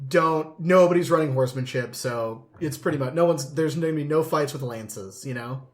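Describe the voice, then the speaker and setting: A man's voice: fairly high pitch, moderate pace. A man co-hosting a casual podcast chat, telling anecdotes conversationally and swearing casually. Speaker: podcast co-host.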